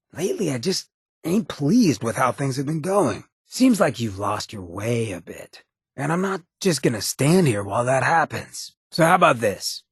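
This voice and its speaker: An actor playing a swaggering, sardonic ghoul gangster companion in a video game, with a gravelly rasp and a wry, streetwise drawl. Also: male voice, on the low side, dry recording, studio quality, heavily acted. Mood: hurt, earnest. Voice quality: gravelly, raspy.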